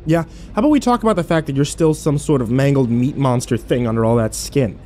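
Man acting a weary horror-drama protagonist, mid-pitched, brooding, cynical, sardonic, over music. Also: a little fast, mellow, lightly acted. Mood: bitter, hostile. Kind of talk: audio drama acting, first-person horror narration. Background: music behind the voice.

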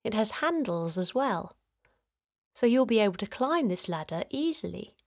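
A woman gently guiding a children's relaxation in a soothing, encouraging way, her voice softly breathy and hushed at times. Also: medium pitch, sing-song, moderate pace, dry recording, clean studio sound. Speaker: host of children's guided relaxation. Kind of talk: guided meditation narration for children.

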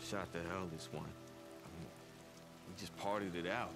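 Talkative grease-monkey mechanic, low voice, slangy, cagey, rambling, game cutscene, music behind. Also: male voice, fairly even intonation, normal speed. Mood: boastful, casual. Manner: rambling, slangy, stammers when cornered. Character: chatty, cagey male camp mechanic. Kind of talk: video game cutscene acting.

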